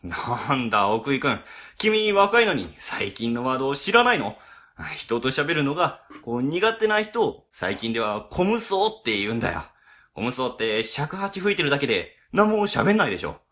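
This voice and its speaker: A man's voice: medium pitch, sing-song, moderate pace, pushed and bright. A man playing a middle-aged civil servant boss in a comic radio drama, chatty, easily flustered and ingratiating. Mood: chatty, clueless.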